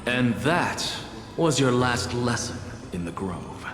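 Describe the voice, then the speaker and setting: Haughty professor, slow and swooping. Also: male voice, medium pitch, expressive. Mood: solemn, stern. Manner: pompous, condescending, withering. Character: haughty, exacting male professor and renowned scholar. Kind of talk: dramatic video game voice acting. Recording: echoey long reverb with music behind.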